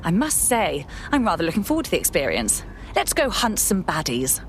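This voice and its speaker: An actress playing a polite, earnest civilian recruit in a video game, well-spoken with a British accent. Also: female voice, fairly low pitch, wildly swooping pitch, a little fast, slight background noise. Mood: eager, wry. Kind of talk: conversational video game dialogue.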